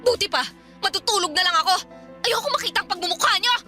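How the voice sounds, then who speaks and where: A woman's voice: extremely high pitch, fast, music in the background. A woman playing a defiant, hot-headed pregnant young girlfriend in a Filipino radio drama, snappy and argumentative. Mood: angry, confrontational.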